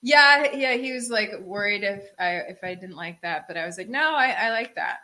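A young woman co-hosting a casual podcast chat, telling relaxed stories and laughing often. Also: medium pitch, moderate pace. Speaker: co-host.

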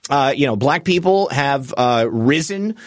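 A man's voice: mid-range voice, lively intonation, a little slow, dry recording, studio quality. Rambling emphatic man hosting a show. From United States. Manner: rambling and emphatic, with frequent fillers and restarts. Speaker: political commentary show host. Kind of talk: unscripted political commentary monologue.

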